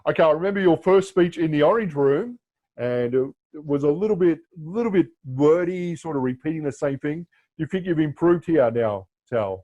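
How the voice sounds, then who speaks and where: A man's voice: on the high side, animated, moderate pace, warm tone, highs cut off. Encouraging man hosting a speech club. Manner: encouraging, repeats words, trails into restarts. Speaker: speech club host and judge. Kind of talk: casual meeting chat. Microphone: video call.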